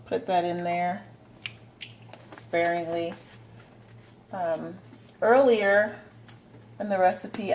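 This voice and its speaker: A woman giving a relaxed, chatty recipe demonstration at home, talking with plenty of fillers. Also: medium pitch, roomy sound, recorded from a distance, a little hiss or hum. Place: home kitchen.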